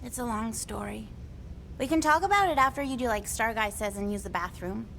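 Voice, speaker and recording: An oddball alien mystic in a video game, airy and playful. Her high voice lilts whimsically with big swings in pitch, over a faint bed of noise. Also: lively intonation, brisk, gravelly, fairly bright tone. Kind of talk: video game cutscene acting.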